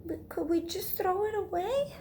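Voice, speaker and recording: A woman voicing a dramatic, excitable little mouse schoolgirl in a children's storybook, with an emphatic, theatrical delivery. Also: very high-pitched, normal speed, slight background noise, pitched above the performer's own voice. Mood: excited. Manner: emphatic, theatrical, repeats words intensely. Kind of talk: read-aloud children's storybook. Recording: echoey room.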